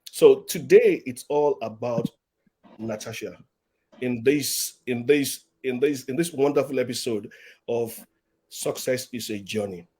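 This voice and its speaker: A man's voice: mid-range voice, measured pace, slightly rough. Man hosting a live-stream interview. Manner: warm-up chatter, repeats words, reads audience comments. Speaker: host.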